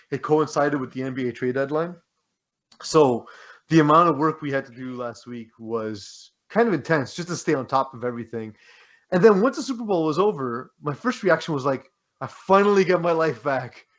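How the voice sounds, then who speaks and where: Casting: male sports podcast co-host, fairly high voice, loose conversational delivery, dull lo-fi sound. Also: a bit bright. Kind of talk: casual sports chat.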